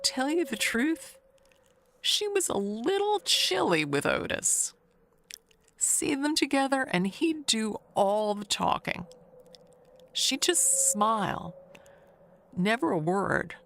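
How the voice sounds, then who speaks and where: Actress as slow, gossipy neighbor woman, very expressive. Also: a little high, a little hiss or hum, full character voice. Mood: confiding. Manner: gossipy, confiding, halting with pauses. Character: chatty, nosy older woman neighbor gossiping with sly wistfulness. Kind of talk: dramatic monologue in an audio mystery tale.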